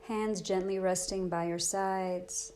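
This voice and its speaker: Woman meditation teacher, mid pitch voice, soft gentle soothing delivery, unhurried w long pauses, body scan style guiding. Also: fairly even intonation, slight background noise.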